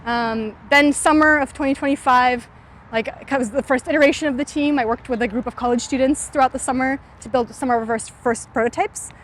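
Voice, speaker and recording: Young woman, bright high voice, loose conversational style, filler words, faint street noise. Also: steady tone, fairly quick. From California, USA. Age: 20s. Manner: frequent "like" and "um" fillers, thoughtful. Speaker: startup founder interviewee. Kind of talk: casual interview while walking. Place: outdoors on a city street.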